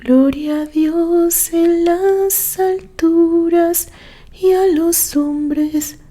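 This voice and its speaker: Woman performing a young mother, high singing voice, very slow, tender old lullaby, storybook style. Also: fairly even intonation, mellow, soft background music, exaggerated voice.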